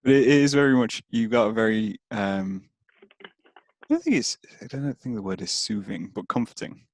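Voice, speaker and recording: A man's voice: fairly low pitch, animated, normal speed, no room sound. A man with an English Midlands accent chatting casually as a podcast host, hesitant, with lots of fillers and restarts. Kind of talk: casual chat.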